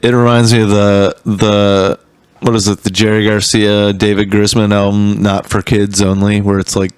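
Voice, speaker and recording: A singer-songwriter man talks casually, with his thoughts wandering and trailing off. His voice sits fairly low. The sound is close and dry, with hardly any room in it. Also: little pitch movement, moderate pace, clear, resonant tone, dry recording. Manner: loose, rambling, trails off mid-thought. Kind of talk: casual chat. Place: home studio.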